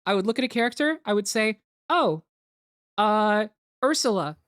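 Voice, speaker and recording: A woman's voice: medium pitch, lively intonation, normal speed, dry recording, clean studio sound. A woman hosting a group commentary, explaining things in casual chat, rambling with lots of "like" and some swearing. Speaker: host of a group commentary on a fan dub.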